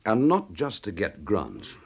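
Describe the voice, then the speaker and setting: An actor playing a determined police chief inspector in a radio crime drama, forceful, clipped and impatient. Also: male voice, fairly low pitch, wildly swooping pitch, unhurried, breathy edge, exaggerated voice. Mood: grim, intense.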